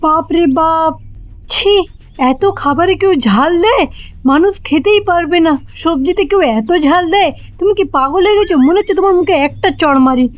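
A woman's voice: very high voice, normal speed, no room sound. A woman voicing a bossy, hot-tempered middle-aged mother-in-law in a comedy cartoon, with a Bengali accent and an exclamatory manner. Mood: furious, scolding. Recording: dull, lo-fi audio with music behind.

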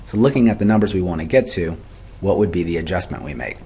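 Very deep-voiced man teaching, noisy recording. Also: animated, quick pace, mellow. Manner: methodical, step by step, poses questions then answers them. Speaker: accounting instructor. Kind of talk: explanatory lecture for a video tutorial.

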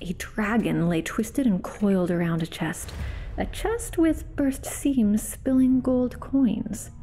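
A woman hosting a podcast and giving a dramatic reading of a fantasy story, turning hushed in tense passages. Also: medium pitch, slow pace. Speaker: podcast host and reader.

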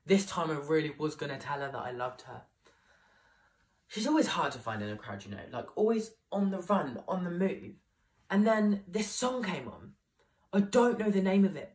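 A young man playing an excitable young man who tells a rambling story about a party, full of "like". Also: fairly high pitch, voice pushed down, close to an everyday voice. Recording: voice picked up from a distance.

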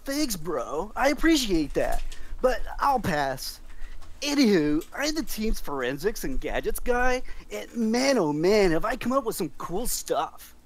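A man's voice: high voice, big pitch swings, unhurried, exaggerated voice. A man playing a laid-back young surfer scientist with slangy bro phrasing in a script read. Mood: easygoing. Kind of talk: scripted table read, acting.

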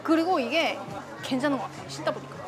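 A woman's voice: sits high, expressive, quick pace, music in the background, crowd noise. A woman chatting casually as a vlog co-host, with a playful, bantering manner. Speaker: vlog co-host, wife in a couple channel. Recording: busy noisy urban public places.

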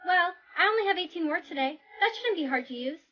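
A nervous, high-pitched teenage schoolgirl, acted by a woman. The delivery is melodramatic, built for a comedic skit, and sounds easily panicked. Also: lively intonation, fast, highs cut off, faint music behind.

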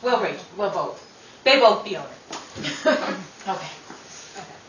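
A woman's voice: medium pitch, a little slow. A woman, the show's creator, chatting and telling stories with a laugh during a casual table read in a small dining room. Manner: laughing, chatty, animated storyteller. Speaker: show creator. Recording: echoey room, from far off, hiss.

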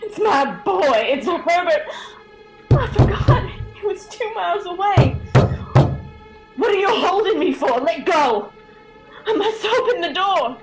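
A woman's voice: very high-pitched, animated, a little slow, pauses often, over music. A woman playing an obsessive, grieving elderly mother in a radio horror drama, imploring and intense. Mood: frantic.

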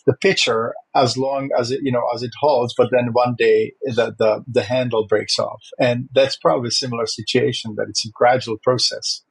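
Husky-voiced man. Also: medium pitch, flat delivery, moderate pace, few pauses, low-quality recording. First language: Czech. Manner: thoughtful, with frequent fillers and restarts. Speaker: host. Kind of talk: conversational interview.

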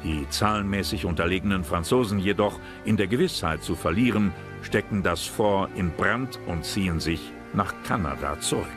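A man giving measured, serious scripted narration for a history documentary. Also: low-pitched. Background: music.

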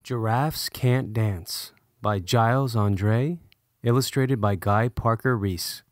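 A man narrating a children's storybook aloud in an expressive, rhythmic way. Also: mid-range voice, slow pace, no room sound, studio quality. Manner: expressive, rhythmic rhyming delivery. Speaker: storybook reader.

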